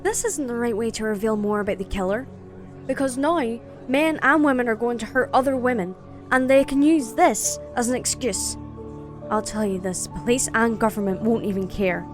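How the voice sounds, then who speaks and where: A woman's voice: on the high side, moderate pace, faint music behind, natural voice. A young woman playing a headstrong, determined college student in an amateur audio drama. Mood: defiant.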